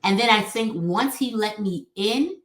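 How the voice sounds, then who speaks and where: A woman talks openly and emphatically in a chatty, casual way. Her voice has a middle pitch. The room around her is echoey. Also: a little slow, background noise. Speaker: guest. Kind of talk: casual podcast conversation.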